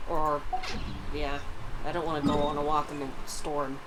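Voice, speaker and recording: A woman's voice: low voice, flat delivery, moderate pace, background noise. A woman livestreaming a video game with casual, profane commentary, often muttering to herself.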